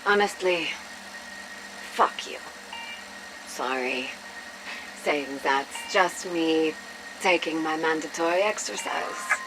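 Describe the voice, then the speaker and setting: A woman's voice: deep voice, slow pace, pauses often, background noise. An actress playing a defiant, tormented female prisoner in a video game, with a strained, emotionally raw delivery. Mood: weary, defiant. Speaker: captive character in a video game. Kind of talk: scripted cutscene dialogue.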